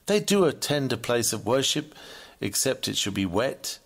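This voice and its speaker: A man reading an old Victorian sermon aloud in an earnest, measured, oratorical manner. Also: medium pitch, very animated, vocal fry, slightly rough. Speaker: sermon reader.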